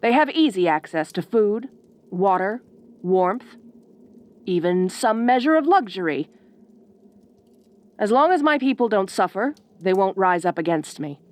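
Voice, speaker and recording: Woman acting a slow, haughty ice queen. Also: mid-range voice, big pitch swings, a little mellow. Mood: cold, instructive. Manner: imperious, formal, drawn-out condescending phrasing. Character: bossy, haughty ice queen, proud and secretly fond. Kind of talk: audio roleplay acting addressed to the listener.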